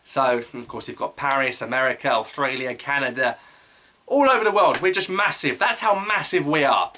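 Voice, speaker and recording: A man hosting his own football channel, giving a solo match preview as an eager fan, with quick asides and self-corrections. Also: medium pitch, pushed and bright, slight background noise.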